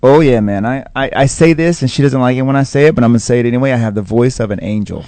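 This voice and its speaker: A man's voice: medium pitch, fast, warm tone, slight background noise. A man guest on a radio talk show, bantering playfully and boasting in jest. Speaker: guest entrepreneur. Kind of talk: casual radio interview.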